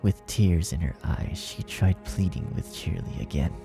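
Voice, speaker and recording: A man with a deep, creaky voice narrates a horror tale. He reads from a script in a dramatic storytelling style, with music clearly playing behind him. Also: expressive, a little slow. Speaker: narrator, fan fiction reader.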